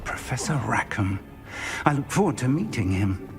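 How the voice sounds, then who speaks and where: A man's voice: mid-range voice, very animated, normal speed, faint music behind. An actor playing a curious older male wizard professor in a video game, theatrical and full of wonder. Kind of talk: cinematic video game acting.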